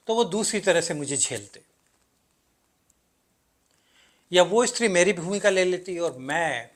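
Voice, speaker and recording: A male actor performs an enigmatic everyman narrator of a stage play, a man unsure of who he is. His voice sits a little high for a man. He addresses the audience in a theatrical monologue, with deliberate pauses and emphatic swings. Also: a bit bright. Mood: resigned, philosophical.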